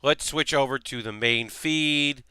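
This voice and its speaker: A man hosting a relaxed live stream while building a model kit, rambling casually with lots of fillers, his voice slightly worn. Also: mid-range voice, measured pace, smooth, no room sound, studio quality. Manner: rambling, chatty, many fillers and restarts.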